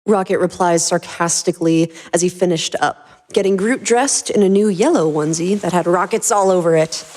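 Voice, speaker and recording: A woman hosting and narrating a fan fiction podcast with animated, scripted storytelling. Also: lowish voice, moderate pace.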